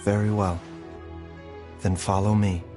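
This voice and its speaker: A man voicing a calm, grave and considerate doctor in a video game, with measured, formal phrasing. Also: on the low side, close to an everyday voice. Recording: music under the voice.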